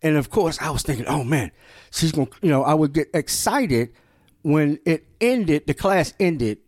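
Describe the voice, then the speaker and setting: A man hosting a podcast in an animated, affirming way, reflecting on his own past. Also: on the high side, normal speed, dry recording, clean studio sound. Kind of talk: podcast conversation.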